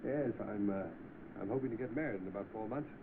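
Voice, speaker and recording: An actor playing an understanding gentleman employer in an old film, brisk and reassuring. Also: fairly low pitch, natural voice. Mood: confiding. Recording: tinny, noisy old film soundtrack.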